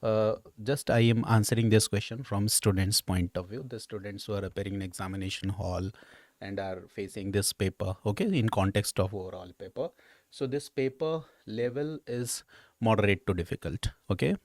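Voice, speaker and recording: A man's voice: on the low side, normal speed, darkish tone, no echo. Indian-accented man teaching, dry close sound. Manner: didactic, often checking with "okay" and "right". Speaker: history teacher at exam coaching institute. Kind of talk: explanatory exam discussion.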